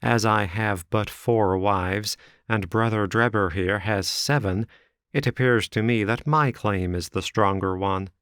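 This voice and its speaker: A man's voice: on the low side, unhurried, fairly bright tone, clear, resonant tone, no echo, clean studio sound. A man voicing two boastful young suitors bragging about their wealth and position. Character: pompous young male suitors, self-important.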